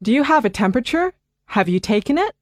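Calm brit lady doctor, read by a woman, bit high, bouncy tone, clear and measured. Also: no echo, clean studio sound. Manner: clear, measured, reassuring delivery. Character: calm reassuring female family doctor. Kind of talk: scripted dialogue for English listening practice.

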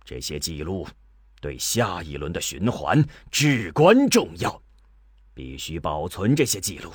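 Man acting a stern, husky older director, hugely expressive. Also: low voice, dry recording, clean studio sound, heavily acted. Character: stern, older male observatory director, indignant and authoritative. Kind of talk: Chinese radio drama acting.

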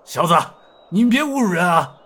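A man voicing a quick-tempered male bandit brother in a martial-arts audio story, blunt and sneering. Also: high-pitched, very animated, fairly bright tone, breathy edge, voice pushed up, full character voice.

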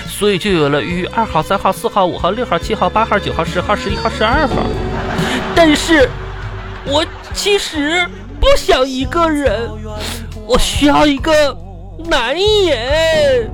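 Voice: high voice, lively intonation, unhurried. A performer playing a childish, clingy, eccentric young woman in a live comic radio drama, whiny and melodramatic. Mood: plaintive, lonely. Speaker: radio comedy actor. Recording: radio broadcast with music behind.

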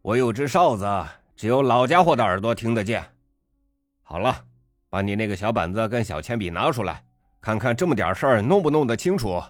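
A man reading a story aloud, voicing a brash, loud middle-aged father who bullies waiters with sarcasm. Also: low-pitched, lively intonation, normal speed, long pauses, no echo, studio quality, faint music behind. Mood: mocking.

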